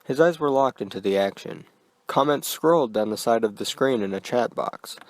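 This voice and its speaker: Male horror narrator, mid-pitched, measured and quiet, dry booth-style recording. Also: animated, dry recording. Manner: quiet, deliberate storytelling.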